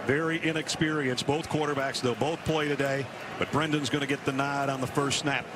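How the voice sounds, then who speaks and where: A man's voice: mid-range voice, flat delivery, a little fast. An American man calling live football play-by-play on a television broadcast. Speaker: play-by-play announcer. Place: stadium broadcast booth. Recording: very noisy TV broadcast recording.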